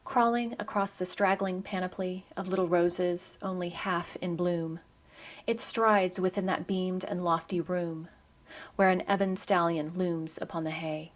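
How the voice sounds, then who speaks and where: A woman with a slightly low voice presents a poetry program. She reads from a script, both commentary and verse, in a measured, literary manner. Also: steady tone. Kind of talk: scripted narration and poetry reading.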